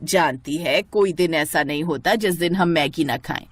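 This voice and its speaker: A woman voicing a bossy middle-aged mother-in-law in a cartoon story, scolding with a sharp tongue. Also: deep voice, moderate pace, no echo, voice pushed down.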